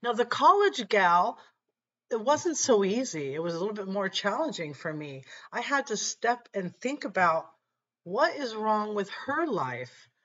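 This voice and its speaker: Slow, slightly raspy middle-aged woman. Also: mid-range voice, very animated, fairly bright tone, lo-fi sound. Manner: slow, earnest storytelling. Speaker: channel host. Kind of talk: faith-based personal talk to camera. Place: small room at home, indoors.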